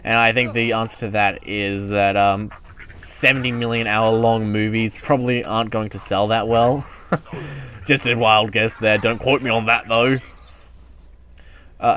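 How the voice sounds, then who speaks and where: A man's voice: mid-range voice, measured pace, fairly bright tone, a little hiss or hum. Rambling man doing casual game commentary. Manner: rambling, self-mocking. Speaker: gaming YouTuber. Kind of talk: casual let's play commentary.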